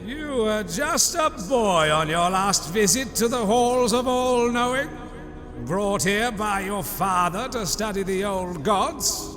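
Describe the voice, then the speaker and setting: An actor playing an elderly, haughty, sarcastic god librarian in an audio comic drama, with theatrical mock politeness. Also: male voice, high-pitched. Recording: long reverberant hall effect, music underneath. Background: music.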